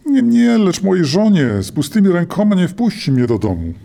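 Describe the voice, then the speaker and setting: An actor playing a wise, folksy village herbalist in an audio drama. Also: male voice, sits high, wildly swooping pitch, normal speed, creaky, warm tone, exaggerated voice. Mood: joking.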